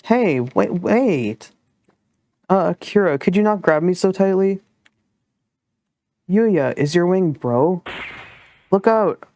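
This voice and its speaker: An earnest schoolgirl heroine from a dating-sim game, as a man puts her on. Her pitch sits well above his own, and she speaks eagerly and politely. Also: animated, slow, long pauses, warm tone, no echo, exaggerated voice. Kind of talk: visual novel read aloud.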